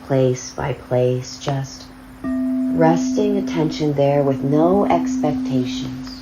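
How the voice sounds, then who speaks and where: A woman guiding a body scan meditation, speaking softly with long, drawn-out pauses. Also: low voice. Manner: slow, soft, drawn-out phrasing with long pauses. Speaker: meditation guide. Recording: slightly dull lo-fi sound over loud singing bowl music. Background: crystal singing bowls and flowing river.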